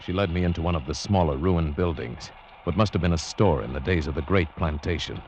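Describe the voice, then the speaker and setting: An actor playing a brooding widowed sailor in a vintage radio drama, speaking in an earnest, measured, dramatic way. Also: male voice, low-pitched, a little mellow, slight background noise, close to an everyday voice. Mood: tense, brooding. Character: brooding widowed sailor in love, resolute, narrating his tale. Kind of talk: 1940s radio drama acting with first-person narration. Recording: dull vintage radio recording with music.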